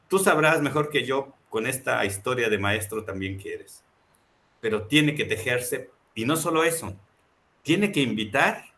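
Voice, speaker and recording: A man's voice: medium pitch, pushed and bright. A man presenting a book in a reflective, impassioned talk, building his points through rhetorical repetition. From Mexico.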